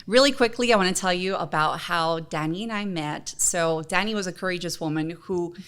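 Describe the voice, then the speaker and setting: Woman from honduras doing a podcast, lowish voice, earnest and encouraging, just chatting. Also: brisk, a bit bright, clear, resonant tone. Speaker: podcast co-host. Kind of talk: casual, personal podcast chat.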